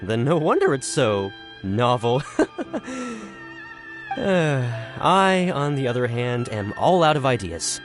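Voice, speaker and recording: Male writer character, video game, extremely animated pitch, hesitant, exclamatory, self-deprecating, background music. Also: slow. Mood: discouraged. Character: scatterbrained, dramatic writer out of ideas. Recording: music behind the voice.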